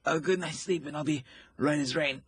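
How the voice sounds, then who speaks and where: A man's voice: fairly high pitch, normal speed, slightly rough, dry recording. A man playing a cheeky, talkative young wounded soldier in a period radio drama.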